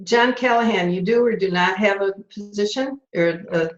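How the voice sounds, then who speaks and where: A woman's voice: mid-range voice, normal speed. A woman leading a club segment on an online call, cheerful, reading out quotes and laughing easily. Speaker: table topics master. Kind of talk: hosting a club meeting segment. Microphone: online video call. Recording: echoey, dull call audio.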